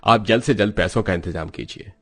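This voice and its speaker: Man playing a grave, low-voiced doctor. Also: brisk, fairly bright tone. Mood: grave, urgent. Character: grave, formal hospital doctor.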